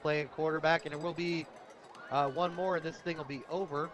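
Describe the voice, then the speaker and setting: A man doing live radio play-by-play commentary at a high school football game. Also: steady tone, brisk. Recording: dull radio broadcast, stadium crowd behind. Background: stadium crowd noise.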